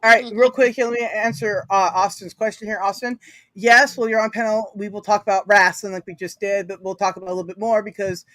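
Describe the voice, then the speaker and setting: Female host, rapid and scattered, joking, dry mic. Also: mid-range voice, steady tone, fairly bright tone, dry recording, rough recording. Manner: jokey, scattered, self-correcting. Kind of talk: casual livestream panel chat.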